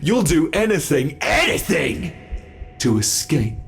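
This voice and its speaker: A man playing a sadistic monster in an ASMR horror roleplay, taunting captive prey with slow, savoring menace and a gravelly, creaky voice. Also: on the high side, very animated, soft background music, exaggerated voice. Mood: smug menace. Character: sadistic male monster gloating over captive human prey.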